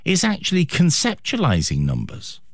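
A man's voice: fairly high pitch, wildly swooping pitch, moderate pace, no room sound, studio quality. A man in his sixties hosting a radio interview in a chatty, self-deprecating way. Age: 60s. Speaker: radio host.

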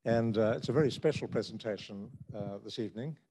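A man's voice: fairly low pitch, fairly quick, dark tone, breathy edge, low-quality recording. A man hosting an evening talk, giving a formal welcome with hesitant fillers as he introduces the speaker. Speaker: event host. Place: lecture room.

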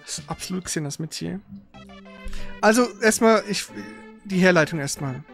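A man's voice: sits high, normal speed, vocal fry, fairly bright tone, soft background music. A man hosting a gaming livestream, chatting informally and rambling a little. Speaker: gaming stream host. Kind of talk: casual livestream chat.

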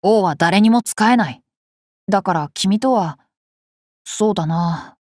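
A woman's voice: mid-range voice, measured pace, a little mellow, no echo, studio quality, close to an everyday voice. A woman voicing a cheerful, curious boy king for an anime game, boyish and polite, with playful self-assurance. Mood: thoughtful, candid. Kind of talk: Japanese anime game character lines.

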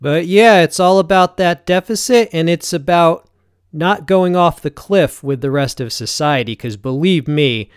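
Blunt forty-something man, smooth dry voice. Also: on the high side, unhurried, no room sound. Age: 40s. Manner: blunt, conversational, frequent "right?" check-ins. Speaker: fitness YouTuber. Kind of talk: casual talk to camera. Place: home gym, indoors.

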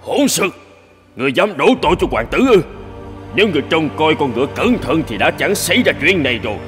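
A man's voice: on the high side, big pitch swings, quick pace, in a large hall, faint music behind. A man voicing an angry old king giving harsh orders in a cartoon fairy tale. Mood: furious. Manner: commanding, shouting orders. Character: furious old king, stern father.

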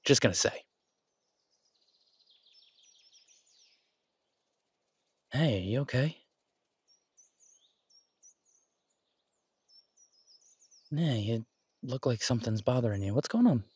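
A man's voice: mid-range voice, expressive, fairly quick, a little mellow, slightly rough, dry recording. A man playing a caring, easygoing boyfriend in an intimate audio roleplay, talking in a hesitant, reassuring, conversational way. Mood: affectionate, curious. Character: caring, easygoing human boyfriend of a werewolf woman.

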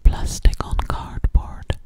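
A young woman performs ASMR in a breathy whisper, right up at the microphone. She speaks very slowly, lingering on words and repeating them, in the role of an alien girl. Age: young adult. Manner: slow, repetitive, lingering on single words. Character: alien girl hiding in a stranger's house. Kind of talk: ASMR close whispering, word repetition. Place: small bedroom, indoors. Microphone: very close to the microphone.

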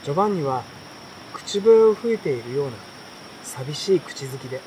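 A man's voice: a little high, expressive, mellow, rough recording. Guy reading a kids story by a campfire at night, takes his time, bugs chirping behind him. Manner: unhurried, with pauses between short phrases. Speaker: reader. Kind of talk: relaxed read-aloud of a children's bedtime story. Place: outdoors at night by a campfire at a campsite. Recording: clear insect and outdoor night noise. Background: insects, stream, campfire.

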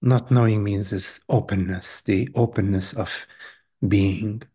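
A man leading a guided meditation as a teacher, speaking gently and hesitantly in short phrases with long pauses between them. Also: lowish voice, fairly even intonation, slightly rough. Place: live online group session.

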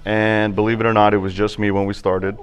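Man, 30s, shop owner. Lowish voice, relaxed and rambling, interviewed in his barbershop. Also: fairly quick, soft background music. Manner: relaxed, chatty, with many fillers. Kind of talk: casual interview answers.

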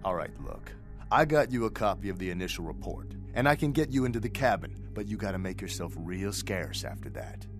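An actor playing an irritable, wisecracking crooked port officer in a video game, sarcastic, slangy and impatient. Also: male voice, low voice, expressive, a little fast. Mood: brusque, wary. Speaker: game character, informant officer. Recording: music playing behind.

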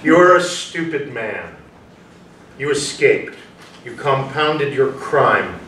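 A man's voice: medium pitch, expressive, slow pace, sharp tone. A man playing a reclusive, devout, defiant eccentric artist with formal, stilted delivery in a play reading. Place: small hall, staged reading before an audience. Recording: distant, echoey room, faint noise.

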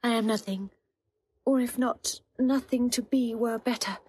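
A grieving young woman disguised as a page, in classical audio drama. The voice is high and husky, earnest and plaintive in its verse. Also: steady tone, measured pace, a little mellow. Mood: sorrowful.

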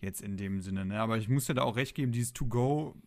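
A man's voice: on the low side, quick pace. A man in his thirties, a podcast host chatting casually and informally. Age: 30s.

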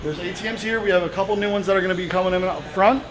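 A man gives a matter-of-fact walkthrough of a big indoor poker room, his voice sitting high for a man. Loud player chatter fills the space behind him, picked up by a handheld camera a few feet away. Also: fairly even intonation, racing. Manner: matter-of-fact, informative, with fillers. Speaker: poker room manager giving a tour. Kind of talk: explaining on a walking tour. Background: crowd chatter.

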